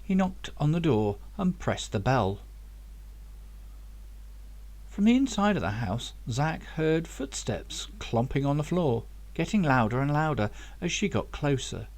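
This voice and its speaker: A man's voice: a little high, very animated, measured pace, a little mellow. A man reading his own novel aloud as scripted audiobook narration.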